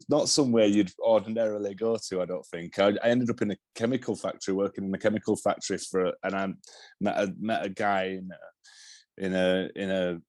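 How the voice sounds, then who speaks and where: A man's voice: low voice, darkish tone, no echo. A man in his forties with a Yorkshire accent, talking as an interview guest, rambling and restarting his sentences often. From Yorkshire, England. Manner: rambling with many restarts and repeated words. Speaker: TV director, guest. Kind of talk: casual interview talk.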